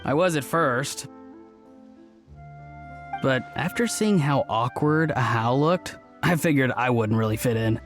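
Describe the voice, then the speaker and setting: A man playing a gentle, bashful young man in a video game cutscene, low-key and candid. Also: animated, a little slow, pauses often, faint music behind, natural voice. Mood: mild.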